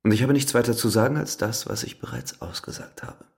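An actor playing a terse, defensive murder suspect in a radio crime drama. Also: male voice, medium pitch, lively intonation, fairly quick, heavy background noise, lightly acted. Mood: defensive, stubborn. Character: guarded, stubbornly denying male murder suspect.

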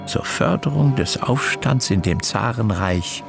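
Grandiose, slow-talking revolutionary schemer, wildly animated. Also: male voice, medium pitch, vocal fry, over music. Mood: gleeful, formal. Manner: declamatory, swinging between whispers and outbursts. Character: grandiose, scheming socialist revolutionary, theatrical and obsessive. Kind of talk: German radio play acting.

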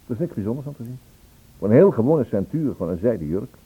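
An actor playing a matter-of-fact police investigator in a radio play, direct and businesslike. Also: male voice, medium pitch, sing-song, quick pace, breathy edge. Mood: businesslike, probing. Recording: tinny, narrow-band old radio recording.

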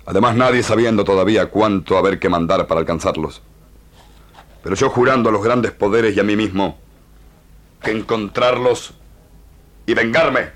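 A man acts out a solemn warrior with a low, deep voice. He talks in broken Spanish full of gerunds and is bent on revenge, in radio drama style. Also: normal speed, long pauses, fairly bright tone, close to an everyday voice. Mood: solemn, vengeful.

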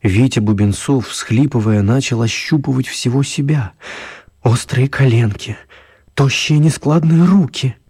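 A male narrator for a children's radio drama, with a slightly husky, mid-pitched voice. He tells the story theatrically, stretching words for dramatic emphasis. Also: a little slow, dark tone.

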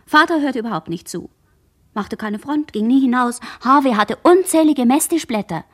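A dazed young survivor girl in a radio drama, speaking in a high, husky voice. Her thoughts come in halting fragments, inward and haunted, sometimes sinking toward a whisper. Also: lively intonation. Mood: dazed, remembering. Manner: halting, fragmented, introspective delivery, dropping into whispers. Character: dazed traumatized girl, sole survivor of murders at sea. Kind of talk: literary radio drama monologue.

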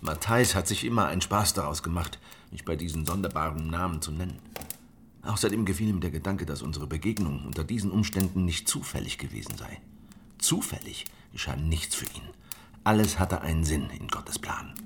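Low-voiced, brooding war-weary rebel. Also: male voice, lively intonation, a little fast, slight background noise. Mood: brooding, reminiscing. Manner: brooding, bitter, dramatic. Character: weary, distrustful male veteran of a crushed peasant revolt. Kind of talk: German radio drama dialogue and first-person narration. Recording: music behind the voice.

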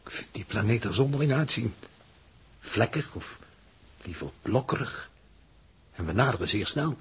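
A man's voice: medium pitch, lively intonation, slightly rough. An actor playing a cautious, pedantic spaceship crewman with a Netherlands Dutch accent, speaking in formal, pondering 1960s radio drama style. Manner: formal, deliberate wording, pondering.

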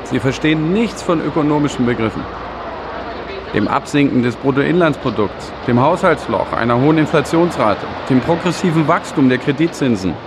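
A man's voice: mid-range voice, expressive, moderate pace, lightly acted. An actor playing an exhausted, embittered father, declaiming sternly in a radio drama. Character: exhausted, embittered father in a debt-ridden household. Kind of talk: stylised radio drama monologue. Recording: clear background noise.

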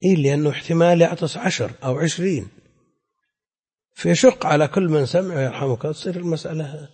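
A man's voice: on the high side, fairly quick, darkish tone, husky. A man teaching a religious class as a scholar, explaining in a didactic, conversational way and often putting questions to his students. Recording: echoey hall with long reverb.

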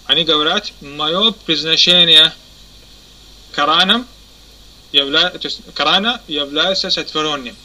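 A man teaching a religious lesson. He pauses often, corrects himself, and keeps asking if his listeners understand. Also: fairly high pitch, pushed and bright, poor audio. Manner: halting, many fillers and self-corrections. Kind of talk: explanatory lesson.

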